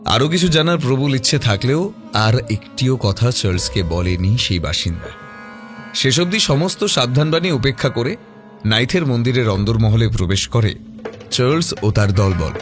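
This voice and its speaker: A man narrating a scripted horror mystery audio story in a dramatic, measured manner. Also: medium pitch, big pitch swings, faint music behind.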